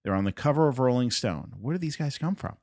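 A man's voice: medium pitch, lively intonation, fast talker, darkish tone, dry recording, clean studio sound. A man from Puerto Rico whose first language is Spanish, hosting a podcast and talking earnestly and emphatically, driving points home by repeating them. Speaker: podcast host. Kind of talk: motivational solo podcast talk.

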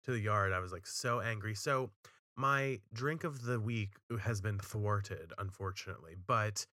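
A man co-hosts a casual podcast from his home studio, telling everyday stories with theatrical, mock-outraged flair. His voice is bright and punchy, and the recording is dry, with no room echo. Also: on the low side, measured pace. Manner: animated, dramatic storytelling with mock outrage. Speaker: podcast co-host. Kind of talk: casual chat.

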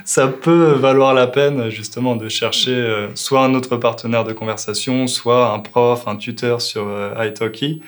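A man's voice: medium pitch, fairly even intonation, a little slow, hardly any pauses. A man hosting a casual interview, speaking in an easygoing way and asking follow-up questions. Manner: easygoing, asks follow-up questions, short backchannels. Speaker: interviewer, host.

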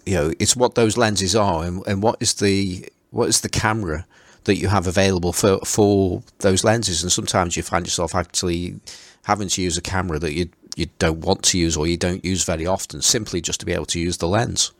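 A man's voice: low-pitched, fairly even intonation, fairly quick, hardly any pauses, no room sound. A man hosting a casual chat, talking hesitantly and often repeating words while he thinks. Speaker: podcast host.

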